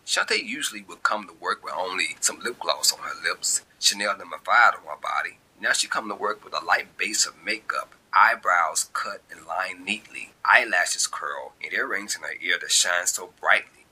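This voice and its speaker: A man reading a short story as its narrator, in an expressive, colloquial storytelling style. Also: sits low, a little hiss or hum, rough recording. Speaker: author and narrator.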